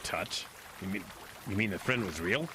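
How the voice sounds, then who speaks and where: A man's voice: low-pitched, normal speed, noisy background, natural voice. A man acting a wounded, bewildered park ranger in an audio horror drama, strained and incredulous. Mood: baffled.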